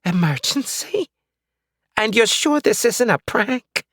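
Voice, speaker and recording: Cranky old lady neighbor, swearing and suspicious, high and lively; male narrator plays her. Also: takes it slowly, no echo, exaggerated voice. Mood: bewildered. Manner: cursing, suspicious, muttering. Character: foul-mouthed cranky old woman neighbor.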